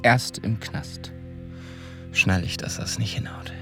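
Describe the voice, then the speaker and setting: A low, creaky-voiced man as a disillusioned small-town mechanic who has done time. He talks colloquially and haltingly, as if mulling it over, in a radio play with music underneath. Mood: bitter, resigned. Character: disillusioned, slangy small-town car mechanic and ex-convict narrator. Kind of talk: first-person radio drama monologue.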